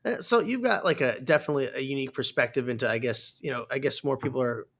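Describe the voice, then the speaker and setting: A man's voice: mid-range voice, fast, fairly bright tone. A man in his thirties hosting a podcast, chatting casually as he interviews a guest. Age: 30s. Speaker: podcast host.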